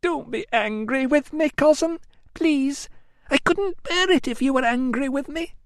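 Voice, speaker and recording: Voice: sits high, measured pace, no echo, studio quality, pitched above the performer's own voice. A narrator voicing a self-pitying young Roman woman who pleads and complains in tearful bursts. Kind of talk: audiobook acting.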